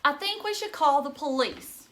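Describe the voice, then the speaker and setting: A woman's voice: high voice, expressive, moderate pace, a bit bright, voice pushed up. A woman voicing an anxious, stubborn young teenage girl from a storybook, insisting and complaining dramatically. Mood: indignant, insistent.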